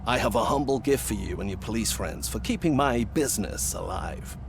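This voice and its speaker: An actor playing a smug, businesslike prison warden in a video game. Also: male voice, lowish voice, lively intonation, fairly quick, gravelly, background noise. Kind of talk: video game cutscene dialogue.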